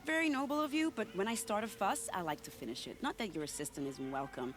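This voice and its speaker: A woman's voice: medium pitch, animated, fast talker, close to an everyday voice. Woman playing a sly, theatrical, swooping spy, music behind. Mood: wry, bold. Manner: arch, teasing, flourishing delivery. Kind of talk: video game cutscene acting.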